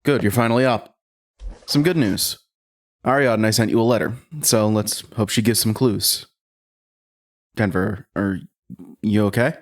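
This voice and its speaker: An actor in an audio drama playing a mild, patient male handler, solicitous and gently questioning. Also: lowish voice, measured pace, pauses often. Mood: upbeat, then concerned.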